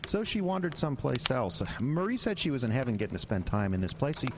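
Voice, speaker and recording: A man's voice: mid-range voice, sing-song, quick pace. An actor playing a wry, stubborn male bureaucrat with a folksy, coaxing manner in an audio drama. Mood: grumbling. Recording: noisy storm ambience behind.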